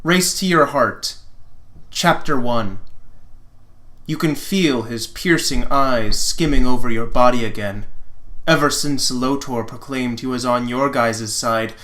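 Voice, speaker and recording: A man's voice: mid-range voice, slow pace, a bit bright. A man with a wry, self-mocking manner, reading fan fiction aloud as host with informal commentary and dramatic narration.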